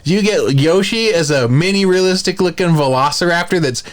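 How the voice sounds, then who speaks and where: A man's voice: high voice, a little fast. A man hosting a podcast, chatting casually about films in an enthusiastic, rambling way. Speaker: podcast host.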